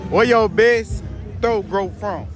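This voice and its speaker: Loud, joking young man interviewer. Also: high voice, slow pace. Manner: loud, joking, slangy banter. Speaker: street interviewer. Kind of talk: raunchy street interview. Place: outdoors at a college homecoming. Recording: outdoor street recording, music and crowd. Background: loud music and a crowd.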